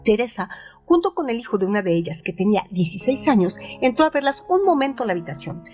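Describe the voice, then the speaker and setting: Woman narrating a novel, kinda high voice, measured expressive reading, faint music under it. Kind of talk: scripted audiobook narration.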